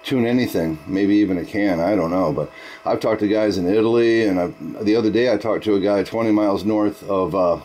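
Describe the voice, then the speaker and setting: Deep-voiced man chatting on ham radio. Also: brisk, a little mellow, slight background noise, poor audio. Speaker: amateur radio operator. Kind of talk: casual ham radio chat.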